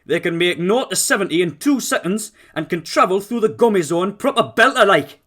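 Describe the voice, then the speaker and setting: A man's voice: sits high, lively intonation, a little fast, sharp tone, voice pushed up, full character voice. A man voicing a cheerful grey-haired male biker chef, hearty and boastful. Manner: boastful, hearty, dialect-laden.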